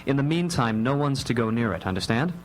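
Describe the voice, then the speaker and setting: A wry, protective dad played by a man, speaking deliberately with dry humour. It is radio drama acting on a live recording with a faint hum behind it. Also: mid-range voice, lively intonation, close to an everyday voice. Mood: serious, protective. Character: wry, calm, protective father of three.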